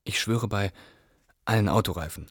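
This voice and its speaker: An actor playing a young male ex-prisoner who recounts his ordeal in a measured, reflective way for a radio drama. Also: fairly low pitch, no room sound, studio quality. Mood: wry, reflective. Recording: loud crowd ambience behind.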